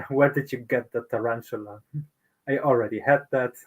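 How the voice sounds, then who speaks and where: Man, thirties, stream guest, slow unhurried talk, frequent laughs. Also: mid-range voice, low-quality recording. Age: 30s. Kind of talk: casual live chat.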